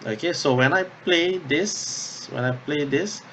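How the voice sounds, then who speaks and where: Slow-paced man giving a halting software tutorial. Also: on the high side, slight background noise, poor audio. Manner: halting, thinking aloud, often checks with "okay" and "yeah". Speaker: drama workshop instructor. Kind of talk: unscripted software tutorial, talking through steps.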